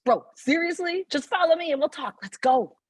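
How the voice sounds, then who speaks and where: An actress plays a bossy, mysterious young woman in a table read. Her high voice swoops with energy, brisk and insistent, in a close, dry sound. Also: animated, dry recording. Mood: cheeky, insistent.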